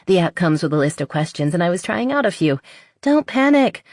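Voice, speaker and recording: A woman's voice: fairly low pitch, sing-song, fairly quick, a little mellow, dry recording, clean studio sound, pitched above the performer's own voice. A woman voicing a playful, chatty, impulsive young woman who is a little tipsy, in a romance audiobook. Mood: giddy, chatty.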